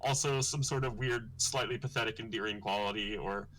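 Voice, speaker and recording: A young man with a bright, forceful tone talks casually on his livestream. He explains his art in a thoughtful, rambling way, with plenty of fillers. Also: fairly even intonation, brisk, low-quality recording. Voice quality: deep voice. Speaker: comic artist hosting his launch stream.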